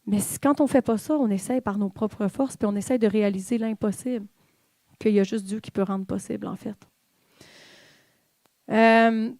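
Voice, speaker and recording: A woman's voice: medium pitch, mellow, no room sound. A woman with a Québécois French accent giving an informal, conversational sermon in church, with fillers and the odd whispered aside. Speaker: church speaker.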